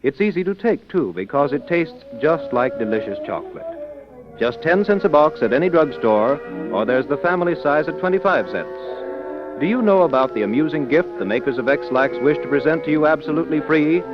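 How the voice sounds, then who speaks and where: Male vintage radio pitchman, very animated, emphatic scripted ad read, slightly dull recording. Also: over music. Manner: emphatic, deliberate sales delivery. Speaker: radio announcer. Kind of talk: scripted 1930s-style radio advertisement. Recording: muffled old radio recording.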